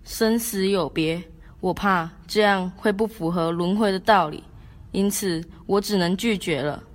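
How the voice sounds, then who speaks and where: A woman's voice: medium pitch, a little slow, natural voice. A woman playing a devoted young man in love in an amateur radio drama, earnest and declamatory, with a Taiwanese Mandarin accent. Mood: resigned. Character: devoted, heartbroken young male lover. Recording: background music under the voice.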